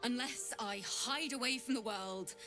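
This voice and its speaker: A woman's voice: on the high side, a little slow, pushed and bright. A woman playing an eager, sweet young bard in a fantasy game, nervous, hopeful, earnest and gushing. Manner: gushing, earnest, hesitant starts. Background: music.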